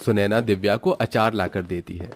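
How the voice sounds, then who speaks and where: A man's voice: fairly low pitch, fairly even intonation, moderate pace. A man narrating a scripted moral story as its storyteller.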